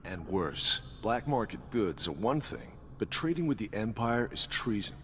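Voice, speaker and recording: Game spy, lowish pitch, very lively intonation, dry, knowing, suspicious, terse. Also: male voice, moderate pace. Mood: wry, suspicious. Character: wry, suspicious male government intelligence agent. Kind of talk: video game character dialogue. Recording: reverberant hall with background noise.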